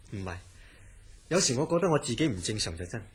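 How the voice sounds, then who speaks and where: A man's voice: mid-range voice, very animated, normal speed, breathy edge. A man playing a grave, authoritative hospital director in a Cantonese radio horror drama, with a Hong Kong accent. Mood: grave, measured.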